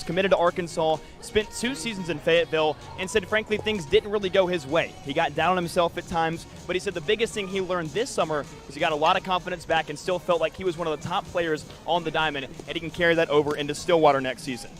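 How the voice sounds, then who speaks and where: A man's voice: fairly high pitch, fast, few pauses, a bit bright, soft background music. A young man working as a sports broadcaster, interviewing and reporting in a polished, fluent delivery.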